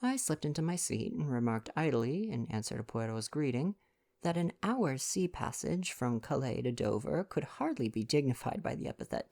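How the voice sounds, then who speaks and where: Gentle young man with creaky voice. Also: medium pitch. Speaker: audiobook-style reader and ASMR performer. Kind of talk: soft bedtime story reading, ASMR.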